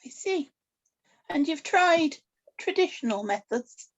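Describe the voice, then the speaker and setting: A woman's voice: sits high, lively intonation, slow, dull audio. A woman playing a shrewd, theatrical witch who tells fortunes, in a scripted drama table read. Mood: probing, cool.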